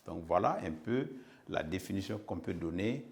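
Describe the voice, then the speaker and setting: Low-voiced man teaching, slightly gravelly. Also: animated, normal speed, a little mellow. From Burkina Faso. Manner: didactic, repeats phrases, frequent check-in tags. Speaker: middle school history teacher. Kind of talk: lesson with slow dictation.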